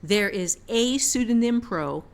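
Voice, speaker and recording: A woman's voice: on the high side, lively intonation, unhurried. Emphatic woman explaining things casually. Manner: emphatic, repeats phrases for stress. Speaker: author and writing teacher. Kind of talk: casual explanatory podcast talk.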